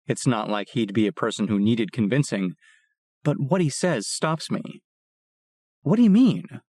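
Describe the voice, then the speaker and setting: A man reading a first-person audiobook in a steady, plain, measured way. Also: lowish voice, dry recording, studio quality. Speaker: audiobook narrator.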